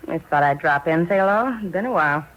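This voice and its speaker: Quick-talking, earnest ex-convict woman. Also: low-pitched, lively intonation. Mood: casual, friendly. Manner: earnest, colloquial, plain-spoken. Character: grateful, plain-spoken female ex-convict going straight. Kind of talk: 1950s radio drama acting, conversational. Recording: muffled, faintly noisy vintage radio recording.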